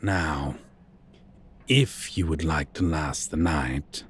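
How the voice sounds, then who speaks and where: A man's voice: a bass voice, heavy background noise. A man acting a sadistic, seductive male vampire who taunts cruelly and breathily in an ASMR roleplay. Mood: cruel, gloating. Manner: slow, menacing, breathy taunting.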